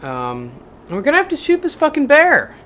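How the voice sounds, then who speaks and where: A vlogger who reads a book passage aloud in a raised, measured voice, then talks casually and bluntly with plenty of swearing and rambling fillers. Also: high voice, wildly swooping pitch, a bit bright. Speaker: video maker reading a book aloud.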